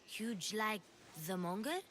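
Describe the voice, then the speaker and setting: A woman's voice: mid-range voice, expressive, slow, a bit bright, a little hiss or hum. A woman voicing an eager, earnest little girl who likes to sneak and help, in a video game cutscene. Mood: curious. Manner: earnest, childlike. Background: background music.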